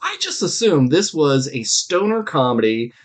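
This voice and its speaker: A man's voice: on the high side, wildly swooping pitch, normal speed. A man co-hosting a podcast, chatting about shows in an informal, rambling, sweary way. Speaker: podcast co-host. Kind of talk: casual review chat.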